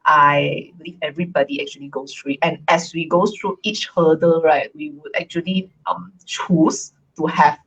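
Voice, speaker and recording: A woman from Malaysia co-hosting a casual live stream, talking in a relaxed, reflective way with many fillers. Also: low-pitched, normal speed, few pauses, slightly rough, low-quality recording. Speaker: co-host.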